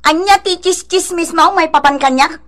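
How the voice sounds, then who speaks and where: A woman's voice: extremely high pitch, normal speed, very noisy. An actress playing an angry younger village woman in a radio drama, confrontational and prone to shrieking. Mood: accusing. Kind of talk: Ilocano radio drama acting.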